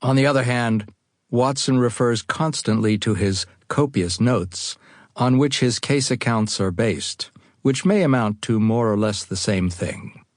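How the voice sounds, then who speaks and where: A man's voice: medium pitch, unhurried, no echo, clean studio sound. A man with an American accent narrating a first-person account for an audiobook, as an author reading his own writing.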